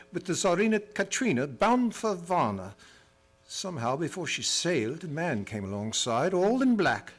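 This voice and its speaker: An actor playing an earnest man who formally reads out dated reports in an old-time radio drama. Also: on the high side. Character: earnest man chronicling a vampire hunt.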